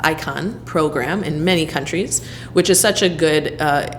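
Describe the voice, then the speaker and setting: A woman from the United States with a notably low voice answers interview questions at an easy conversational pace. She talks thoughtfully, filling her pauses with ums and you-knows. A faint hiss sits under her voice. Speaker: interviewee, leader at a civic nonprofit hub.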